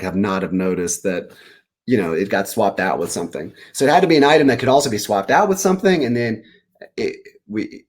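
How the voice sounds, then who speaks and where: Guy with a lowish voice chatting as an author guest, rambly and animated, thinking out loud. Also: slightly rough. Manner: rambling, animated storytelling, piecing ideas together aloud. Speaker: children's book author, guest. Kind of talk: casual interview answers about writing.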